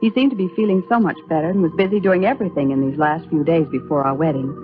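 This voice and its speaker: An actress playing a gentle, patient young bride-to-be, the heroine of an old radio soap opera. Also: female voice, sits low, fast talker, soft background music, lightly acted. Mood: earnest, reflective. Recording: lo-fi, faintly noisy old radio recording.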